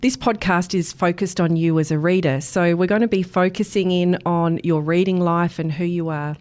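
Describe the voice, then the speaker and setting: A woman with a slightly low voice hosts a podcast interview. She introduces and questions her guest in a conversational way. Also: steady tone, moderate pace, darkish tone.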